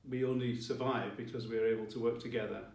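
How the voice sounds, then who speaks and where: A man giving a formal ceremonial address as a college leader, with measured, deliberate phrasing. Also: medium pitch, steady tone, a little mellow. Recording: roomy, distant mic, faint music behind.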